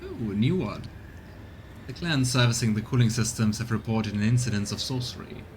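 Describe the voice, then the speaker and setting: A man's voice: medium pitch, animated, brisk, a little mellow, slight background noise. A man doing casual let's play commentary, chatting as he thinks aloud and reads game text. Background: faint game music.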